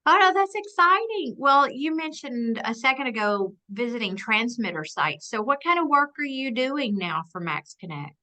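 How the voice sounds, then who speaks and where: A woman's voice: a little high, animated, moderate pace, hardly any pauses, bright, forceful tone. A woman from Alabama, hosting a conversational interview and asking friendly, encouraging questions. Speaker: interviewer, association president.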